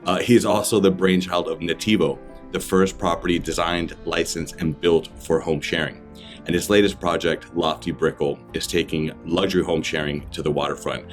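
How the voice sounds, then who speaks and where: A man's voice: deep voice, fairly even intonation, moderate pace, faint music behind. A man hosting a real estate podcast, reading a polished, upbeat intro and asking interview questions. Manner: upbeat, polished announcer delivery. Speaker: podcast host.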